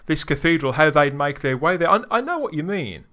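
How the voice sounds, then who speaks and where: A man's voice: on the high side, expressive, a little fast, bright, forceful tone. A man hosting a podcast, reviewing in casual chat, enthusiastic, with frequent restarts. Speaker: podcast host.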